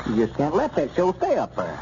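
Insistent high-voiced country fellow, old radio. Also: male voice, wildly swooping pitch, fairly quick, warm tone. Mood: urgent. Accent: rural Southern US. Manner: urgent, admonishing, folksy. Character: insistent, righteous small-town country man. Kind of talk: 1930s radio serial acting. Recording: muffled, hissy 1930s radio recording.

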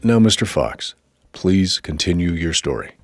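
A man playing a stern military interrogator in a video game, questioning in a dry, formal, skeptical way with a husky voice. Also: low-pitched, very animated, measured pace, soft background music. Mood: weary, dry.

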